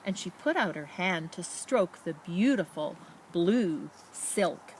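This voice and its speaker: A woman reads a children's picture book aloud expressively, with dramatic emphasis and pauses and casual asides to her listeners. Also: fairly low pitch, expressive, slight background noise, poor audio. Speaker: storybook reader.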